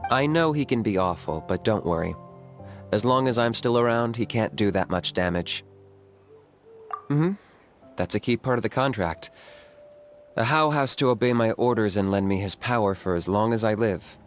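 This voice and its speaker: An actor playing a cool, pragmatic young warrior in a video game, composed and matter-of-fact. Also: male voice, fairly low pitch, animated, moderate pace, faint music behind, lightly acted. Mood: calm, explanatory.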